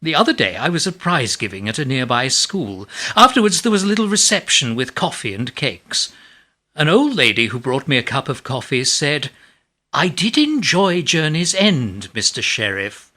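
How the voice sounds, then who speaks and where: Actor as bright-voiced, animated playwright. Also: male voice, mid-range voice, normal speed. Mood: reflective, wry. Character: modest, genial English playwright, reflective and wry. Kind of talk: radio drama acting and reminiscence.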